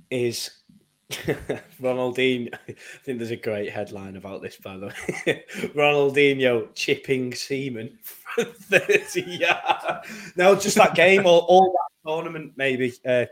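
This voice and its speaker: A man's voice: medium pitch, unhurried. Laughing young man chatting football. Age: 20s. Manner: animated, laughs often, repeats words while thinking. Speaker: podcast co-host. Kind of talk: casual chat.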